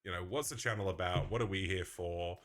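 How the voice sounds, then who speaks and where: Low, bright-voiced laughing man. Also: a little fast. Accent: mixed English and Australian. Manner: chatty, laughs easily. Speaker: podcast co-host. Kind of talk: casual chat.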